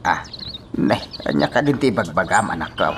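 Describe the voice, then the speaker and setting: A man acting a concerned middle-aged father in an Ilocano radio drama, with drawn-out, questioning delivery. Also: low voice, expressive, noisy background. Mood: questioning, dismayed. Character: concerned father of a lovesick grown daughter.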